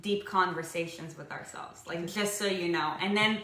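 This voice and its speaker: Bright-voiced woman chatting candidly. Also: fairly low pitch, normal speed, reverberant. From Israel. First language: not English. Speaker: co-host of a coaching show. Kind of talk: casual, explanatory chat.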